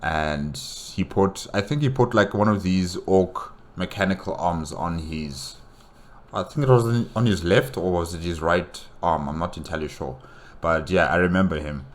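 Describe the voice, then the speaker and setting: A man hosting a reaction video with casual, halting, repetitive commentary, often dropping in "you know". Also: low voice.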